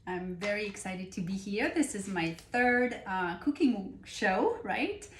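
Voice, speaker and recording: A woman from France with a French accent hosts a cooking demonstration, talking with unhurried enthusiasm and many pauses and fillers. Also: mid-range voice, lively intonation. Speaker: cooking show host and nutrition coach. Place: kitchen. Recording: echoey kitchen room.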